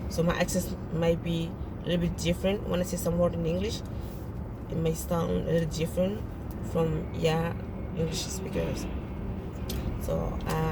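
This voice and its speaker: A woman's voice: fairly low pitch, vocal fry, very noisy. A woman with a French accent, a native French speaker from French-speaking Africa, making an earnest, pleading appeal straight to camera and often restarting her phrases. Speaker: video blogger.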